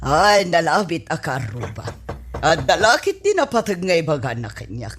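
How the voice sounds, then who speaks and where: A woman's voice: sits low, huge pitch swings, normal speed, slight background noise. A woman playing a carefree, easily flustered neighbour with an Ilocano accent in a comedy radio drama. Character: carefree, flustered neighbour, mistaken for someone's wife.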